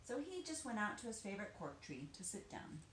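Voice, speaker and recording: A woman reading a children's picture book aloud, animated and deliberate, with dramatic emphasis. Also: mid-range voice. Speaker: storybook reader, teacher. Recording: roomy echo, faint background noise.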